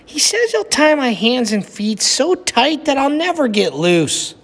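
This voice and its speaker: A man's voice: extremely high pitch, very animated, measured pace, fairly bright tone, pitched above the performer's own voice, exaggerated voice. A man reading a storybook aloud, voicing a timid but plucky young boy in an earnest, exaggerated child voice. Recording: echoey room with long reverb.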